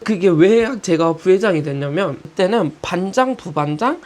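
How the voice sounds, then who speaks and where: 20-something woman telling her life story, lowish voice that swings up and down a lot, chatty candid vlog. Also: normal speed. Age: 20s. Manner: candid, chatty, animated retelling with quoted asides. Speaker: YouTuber. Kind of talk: casual storytelling about her own life.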